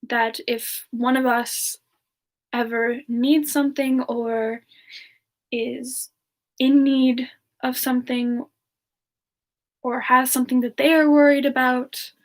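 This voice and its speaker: A woman voicing a gentle, playful siren entertainer in a soft, hesitant semi-ASMR chat, answering questions in character. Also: sits high, creaky, heavily acted. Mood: tender, earnest. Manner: soft, unhurried, giggly, hesitant.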